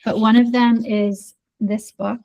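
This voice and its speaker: A woman's voice: a little high, little pitch movement, slow pace, creaky, muffled recording. A woman in casual podcast conversation, speaking thoughtfully and hesitantly with many fillers. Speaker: podcast guest.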